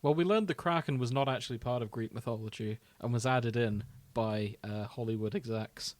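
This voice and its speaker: A man's voice: medium pitch, fairly quick, no echo. A British man co-hosting a podcast in casual, jokey banter. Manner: jokey, prone to laughing. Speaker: podcast co-host.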